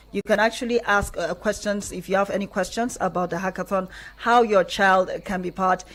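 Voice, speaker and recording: A woman with a Nigerian accent presents on live radio. Her voice sits at a middling pitch, recorded on a studio microphone as she addresses guests and listeners. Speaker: radio show host. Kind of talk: live radio hosting and interviewing.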